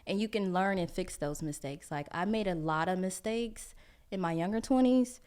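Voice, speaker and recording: A woman's voice: lowish voice, moderate pace, darkish tone, smooth. Upbeat twenty-four-year-old woman. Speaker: podcast guest. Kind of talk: casual podcast chat.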